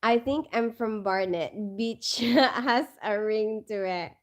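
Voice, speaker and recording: A woman's voice: mid-range voice, takes it slowly. A woman chatting casually to viewers, full of fillers and asides. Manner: chatty, many fillers, reads out comments. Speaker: vlogger. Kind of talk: casual live chat with viewers.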